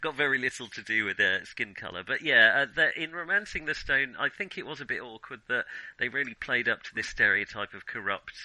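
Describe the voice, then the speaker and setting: A middle-aged British man talks casually as a podcast co-host. His voice is very bright and crisp-edged, recorded dry with no room sound. He feels his way through his thoughts in a hesitant, searching manner. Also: medium pitch, lively intonation, brisk, few pauses, dry recording, rough recording. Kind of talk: casual film discussion.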